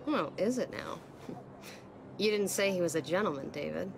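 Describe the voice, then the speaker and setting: A woman's voice: on the low side, lively intonation, normal speed, gravelly, background noise. An actress playing a brash, teasing female soldier, a trusted second-in-command, in a cinematic video game. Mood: amused, teasing. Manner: teasing, cheeky. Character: brash, teasing female soldier, the security chief's right hand.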